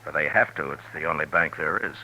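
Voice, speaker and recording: An American man playing a firm, blunt Old West marshal with a commanding, steady manner in a 1950s radio western. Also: rumbling low, fast talker, full character voice. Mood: urgent reassurance. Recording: noisy 1950s radio recording.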